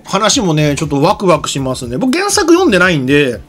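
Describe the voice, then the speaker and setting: A man's voice: a little high, wildly swooping pitch, a little fast, a bit bright, faint music behind. A middle-aged man co-hosting an anime talk show, chatting and commenting informally with frequent agreeing interjections. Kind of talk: casual chat and commentary. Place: small indoor studio. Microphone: desk microphone.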